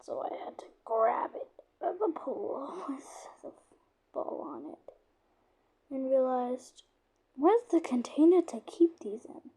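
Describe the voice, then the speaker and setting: A woman casually narrating a made-up day in first person, her voice sore and lowered, often dropping to a soft whisper as she rambles on. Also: expressive, slow, creaky, slightly rough, low-quality recording. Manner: rambling, with frequent "obviously" and restarts. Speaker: channel creator narrating a roleplay.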